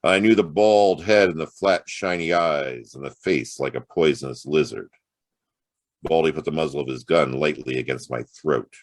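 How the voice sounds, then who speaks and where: A man's voice: extremely low pitch, moderate pace, dry recording. An actor playing a world-weary, wisecracking private detective in a noir audio drama, with wry, clipped tough-guy delivery. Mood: grim, tense. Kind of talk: noir radio-play acting and first-person narration.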